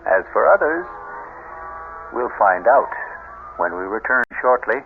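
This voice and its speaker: A man hosting a radio mystery, giving measured, wry and ominous theatrical narration from a script. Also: lowish voice, a little hiss or hum. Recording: narrow vintage radio broadcast sound. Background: loud music.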